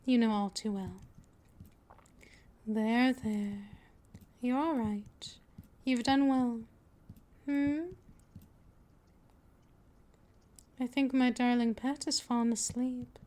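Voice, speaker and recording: A woman's voice: a little high, dark tone. A woman playing a patient, possessive vampire mistress in a scripted ASMR roleplay, speaking in a coaxing, soothing, dominant manner that often drifts toward a whisper. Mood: doting.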